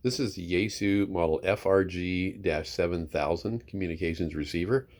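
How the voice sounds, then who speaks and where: A man's voice: deep voice, moderate pace, darkish tone. A man giving a casual, unscripted demonstration as a presenter, in an easygoing, somewhat hesitant way. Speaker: presenter demonstrating a vintage radio receiver.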